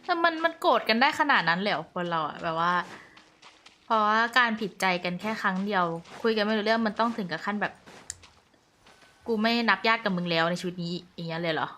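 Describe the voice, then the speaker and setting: Very fast-talking, animated woman streamer. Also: mid-range voice, faint music behind. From Thailand. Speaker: VTuber game streamer. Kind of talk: casual live-stream reaction chat in Thai.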